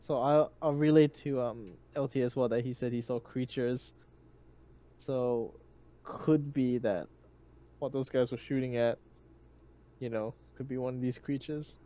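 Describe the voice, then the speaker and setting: A man's voice: medium pitch, steady tone, unhurried, long pauses, dark tone. A man talking casually as a player in a tabletop roleplaying game.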